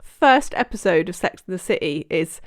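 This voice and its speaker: A woman's voice: fairly low pitch, lively intonation, normal speed, no echo. A blunt, opinionated woman in her forties from Britain comments on clips for her reaction channel. She talks casually and swears now and then, close to the mic. The sound is dry with no room around it. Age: 40s. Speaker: reaction video host.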